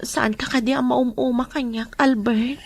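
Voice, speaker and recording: An actress playing a weary, insecure wife recovering from an accident in an Ilocano radio drama, with plaintive, drawn-out delivery. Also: female voice, on the high side, steady tone, creaky, no room sound. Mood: apologetic, needy.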